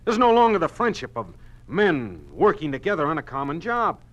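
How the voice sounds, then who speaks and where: An actor playing a distraught middle-aged American husband and plant manager in a 1950s radio drama, agitated and halting. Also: male voice, on the high side, very animated, fairly bright tone. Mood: agitated, grave. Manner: halting, agitated delivery, repeating words.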